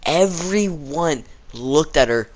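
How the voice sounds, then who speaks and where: A man's voice: fairly high pitch, expressive, slow, low-quality recording. A teenage male vlogger chatting casually as he tells a rambling story, acting out the people he quotes. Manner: rambling, acts out quoted exchanges.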